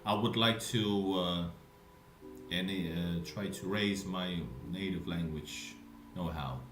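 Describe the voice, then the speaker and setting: A man with a Russian accent, live streaming and chatting casually with viewers, speaking in a deliberately lazy, relaxed way. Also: sits low, echoey room, over music. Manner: intentionally lazy, relaxed way of talking.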